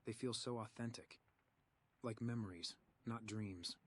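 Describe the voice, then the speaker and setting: A man's voice: fairly low pitch, unhurried, a bit bright, slight background noise. An actor playing a troubled young man haunted by recurring dreams, speaking in a subdued, hesitant way. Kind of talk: voiced game dialogue.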